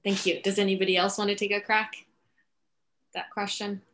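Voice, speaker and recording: A woman's voice: medium pitch, flat delivery, moderate pace, a bit bright. A middle-aged woman moderating an online question-and-answer session as host, on a video call with a headset. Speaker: host, writer and director. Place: indoor room, video call. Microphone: video call, wearing headset.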